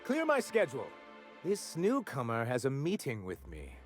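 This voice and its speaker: A man's voice: mid-range voice, wildly swooping pitch, normal speed, music in the background. An actor playing a flamboyant, vain male corporate executive who talks in a theatrical, florid way full of innuendo. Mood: grandiloquent.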